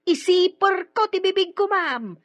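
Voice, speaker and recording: An actress with an Ilocano Filipino accent playing a flustered, long-suffering maid in a radio drama, given to wailing and grumbling asides. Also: female voice, very high-pitched, animated, unhurried, dry recording. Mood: grudgingly deferential. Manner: exclaiming, wailing, muttering asides.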